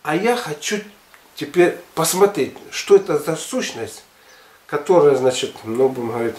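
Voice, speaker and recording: An older man in his sixties tells a personal story in a colloquial, expressive manner. His voice sits a little high for a man. He often repeats words for emphasis, and the room around him is clearly echoey, as if the camera is a few metres away. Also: moderate pace. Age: 60s. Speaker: health and gymnastics video blogger. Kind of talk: casual storytelling monologue to viewers. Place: living room at home, indoors.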